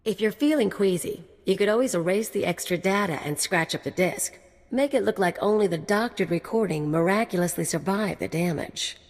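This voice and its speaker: A woman's voice: mid-range voice, animated, a little fast. An actress playing a teasing, wry mercenary woman in a video game cut-scene. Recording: long reverb.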